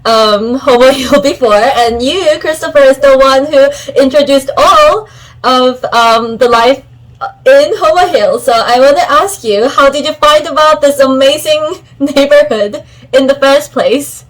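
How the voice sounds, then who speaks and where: A young woman from South Korea in her twenties, chatting on a podcast and asking her friends measured questions. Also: high voice, poor audio. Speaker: podcast co-host. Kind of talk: casual chat.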